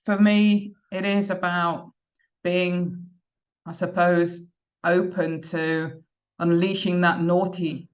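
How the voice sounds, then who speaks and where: A woman's voice: on the low side, little pitch movement, slow, lo-fi sound. A woman hosting a podcast, interviewing a guest, talking hesitantly with many fillers and restarts. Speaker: podcast host.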